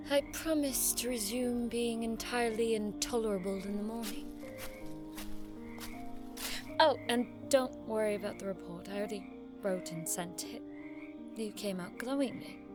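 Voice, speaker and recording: A woman's voice: on the high side, normal speed, over music, lightly acted. A woman acting in an audio drama as a witty young noblewoman knight with an arch, teasing, theatrical manner. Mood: fond teasing.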